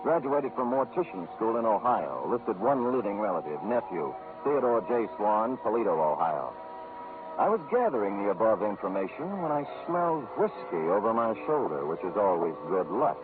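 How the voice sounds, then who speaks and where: Tinny vintage radio sound with music behind a hard-boiled male detective. His lowish voice is wry and sardonic, with animated swings, in 1940s noir drama style. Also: moderate pace. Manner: sardonic, wry, mock-formal. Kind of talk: 1940s radio noir acting and first-person narration.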